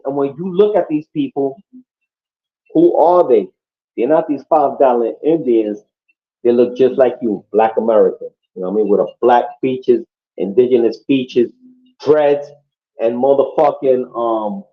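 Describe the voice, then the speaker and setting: A man from Puerto Rico talking casually and emphatically on a podcast, swearing often and checking in with his listeners. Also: mid-range voice. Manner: profane, emphatic, keeps asking "you know what I mean". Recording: muffled lo-fi audio.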